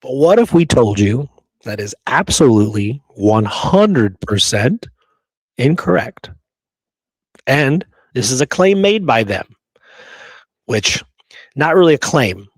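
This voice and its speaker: Male host, mid-pitched, emphatic presenter of historical research, dry studio-style sound. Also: big pitch swings, takes it slowly, long pauses, husky, no room sound, low-quality recording. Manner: emphatic, checks in with rhetorical right and okay. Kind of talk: presenting research to listeners, partly reading prepared notes.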